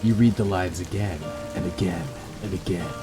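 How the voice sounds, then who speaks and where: A man's voice: low-pitched, normal speed. A man hosting a gaming video, moving between casual chat and dramatic reading of the game's text. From Canada. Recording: background music under the voice.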